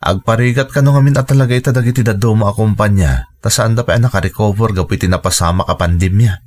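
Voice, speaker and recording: A man acting a worried family father in an Ilocano radio drama, with an expressive, sighing delivery and a husky voice. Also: on the low side, fast talker, close to an everyday voice. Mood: troubled.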